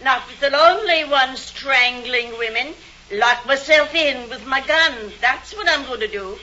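A woman's voice: sits high, measured pace, sharp tone. An actress playing a nosy, blunt, fearful old woman of a small town in an old radio drama. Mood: fearful, warning. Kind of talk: 1950s radio drama acting. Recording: dull old radio recording.

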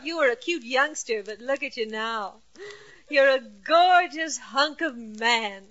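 A man-hungry sweetheart coos and presses her case in old radio comedy style. Her voice is high and bright, on a dull vintage recording. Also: takes it slowly. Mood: adoring. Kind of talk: 1940s radio sitcom acting.

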